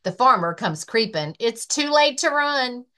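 A woman librarian reading a children's rhyming picture book aloud with expressive, rhythmic delivery. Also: a little high, a little slow. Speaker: librarian and story-time reader.

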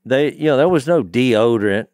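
A man's voice: mid-range voice, expressive, moderate pace, a little mellow, no echo. A middle-aged man co-hosting a Bible discussion podcast, telling stories emphatically in a conversational way, close on a studio mic. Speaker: podcast co-host teaching scripture. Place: small wood-panelled podcast studio. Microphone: studio microphone on boom, close.

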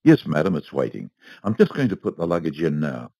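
A man doing a calm, courteous butler. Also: a bass voice, no echo, clean studio sound, voice pushed down, heavily acted. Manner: polite, reassuring, measured.

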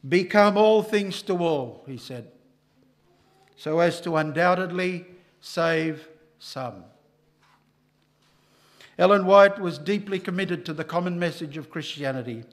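An older man preaching a sermon at a church lectern microphone, emphatic and rising loud on key lines. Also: fairly high pitch, unhurried, pauses often.

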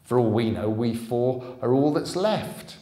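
Male reader as smug underling, deferential to his boss, storybook villain, unhurried, roomy sound. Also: medium pitch, lively intonation, mellow, voice pushed up, natural voice. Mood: worried. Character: smug moustached male henchman. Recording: roomy hall echo.

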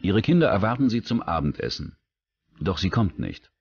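Man, low-pitched, expressive intonation, scripted true-crime narration, documentary narrator. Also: moderate pace, highs cut off, slight background noise.

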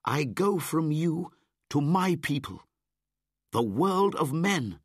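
A man voicing a fierce young boy raised by wolves, speaking loud and high. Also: big pitch swings, slow, husky, no room sound, clean studio sound, exaggerated voice. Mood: defiant. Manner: loud, high, forceful declaring.

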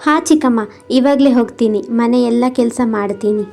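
A woman voicing a gentle, obedient orphan girl in a cartoon moral story. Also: a little high, moderate pace, gravelly, soft background music. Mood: meek, willing. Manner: meek, dutiful.

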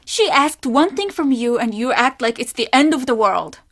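An actress playing a stern young innkeeper's daughter in a video game, speaking in a measured, formal and easily offended way. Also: female voice, sits high, animated, a bit bright, no echo, clean studio sound, exaggerated voice. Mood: angry. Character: guarded, stern young Japanese innkeeper's daughter, polite but curt. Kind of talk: video game character dialogue.

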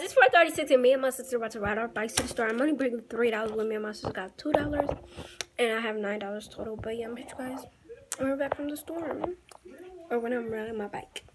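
A woman's voice: a little high, very noisy. A teenage girl vlogging casually in a chatty, slangy, rambling way, talking into a phone at arm's length. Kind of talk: casual vlog chat. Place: home indoors, then outdoors. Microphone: phone held at arm's length.

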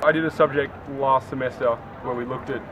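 A man's voice: mid-range voice, steady tone, brisk, crowd noise. A young male university student answering a street interview candidly, with many fillers. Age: young adult. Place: outdoors on a university campus. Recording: dull outdoor recording with street noise.

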